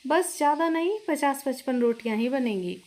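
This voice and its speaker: A woman's voice: high-pitched, expressive, normal speed, a little mellow. A woman voicing a breezy, bossy sister-in-law who orders a newcomer about in a family story. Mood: breezily bossy.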